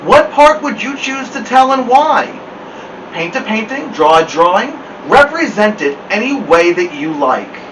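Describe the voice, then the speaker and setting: A man with a high voice reads aloud to children in an expressive, playful way. He drops in chatty asides to his listeners. The mic sounds distant and there is clear noise behind him. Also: unhurried. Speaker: host of a children's story show. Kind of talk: animated read-aloud storytelling with chatty asides.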